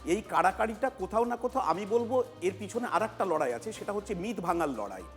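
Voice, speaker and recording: A man's voice: sits high, animated, fast, big-room sound, soft background music. A man with a West Bengal Bengali accent giving emphatic political analysis on a TV panel, repeating his key phrases.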